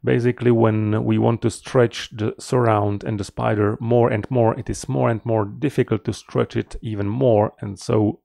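Low, gravelly man presenting research. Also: level tone, normal speed, hardly any pauses, dark tone, dry recording, clean studio sound. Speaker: researcher presenting a conference paper. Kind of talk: explanatory technical presentation with slides.

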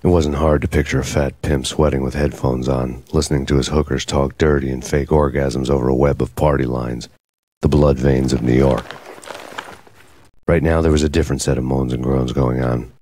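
Very deep, brooding noir cop. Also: male voice, moderate pace, slight background noise. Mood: brooding deadpan. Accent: American. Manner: brooding, deadpan. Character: brooding, world-weary male New York cop gone rogue. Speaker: narrator protagonist. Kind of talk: hardboiled noir voice-over narration.

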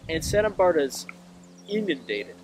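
A young man as a podcast guest, joking dryly with a low-key delivery. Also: very animated, moderate pace, a little hiss or hum, poor audio. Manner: dry, joking, self-described quiet and deep. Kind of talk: casual chat.

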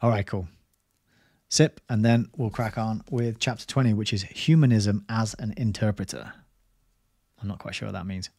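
A rambling English man in his forties, chatting loosely with his livestream audience and laughing between thoughts. His voice sits fairly low and comes through a boom mic. The sound is close and dry, with no room around it. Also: fairly even intonation, moderate pace, creaky, darkish tone, no echo. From England. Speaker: livestream host reading a book. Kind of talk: casual livestream chat with viewers. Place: home study lined with bookshelves. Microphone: studio mic on boom arm.

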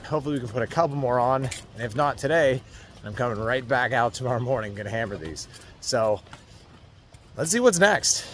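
A young man in his twenties giving upbeat, casual commentary to his viewers while fishing, often talking to the fish and chuckling. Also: medium pitch. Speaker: fishing vlogger. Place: outdoors by a rushing stream. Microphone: action camera, chest or handheld. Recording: outdoor camera mic with loud stream noise. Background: rushing water.

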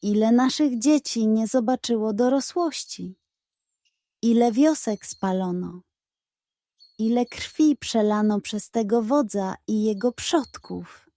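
A woman's voice: on the high side, takes it slowly, mellow, no room sound, clean studio sound. A woman voicing a bitter, accusing warrior's adviser in a children's audio story. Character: bitter, growling war chief's adviser.

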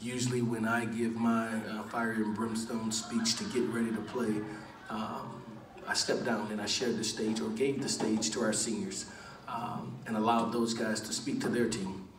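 A middle-aged man, a head coach, answering questions at a postgame press conference in a measured, earnest way, pausing with many "uh"s and repeating words. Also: lowish voice, flat delivery, noisy background. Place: large press room with backdrop. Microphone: table mic, recorded from across the room. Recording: echoey room, from a distance.

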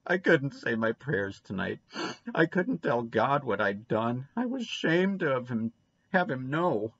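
A man's voice: mid-range voice, sing-song, measured pace, a bit bright, slightly rough, dry recording, pitched above the performer's own voice, exaggerated voice. A man voicing a guilty, blurting little boy, dull recording. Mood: miserable, pleading. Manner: childish, ungrammatical country speech. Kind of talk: storybook character reading.

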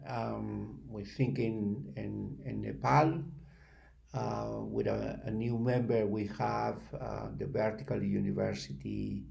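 An older man giving halting, deliberate remarks on a video call, with many pauses. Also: lowish voice, creaky, dark tone. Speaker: network spokesperson. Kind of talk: webinar remarks. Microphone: video call, computer microphone.

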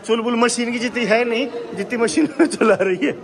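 A man's voice: extremely high pitch, moderate pace. A man narrating a family vlog, teasing and coaching relatives on gym machines. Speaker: vlogger filming his family. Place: large indoor gym. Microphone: handheld phone camera. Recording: roomy gym with crowd chatter.

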